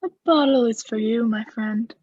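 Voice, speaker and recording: A woman acting a coolly scheming aristocrat in a radio play, formal and measured, feigning friendly concern. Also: on the high side, a little mellow, natural voice. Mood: sly, courteous. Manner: formal, measured, slyly solicitous. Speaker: student actor in a radio play. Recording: echoey, slightly muffled recording.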